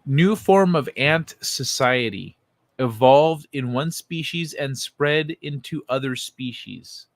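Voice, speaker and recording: A man hosting a livestream in an informal, excitable, sweary way, talking casually and reading things aloud. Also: medium pitch, slow, no room sound. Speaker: livestream host.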